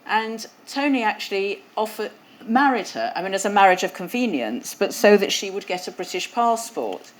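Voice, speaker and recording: A woman's voice: medium pitch, lively intonation, normal speed, poor audio. A woman hosting a museum event and introducing speakers, talking conversationally with some fillers into a lapel mic. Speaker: event host. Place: event hall.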